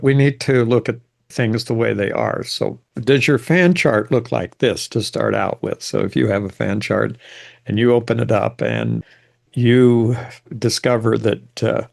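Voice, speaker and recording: A man's voice: medium pitch, creaky. An older man giving an informal lecture as a genealogy presenter, explaining in a reflective, rambling way.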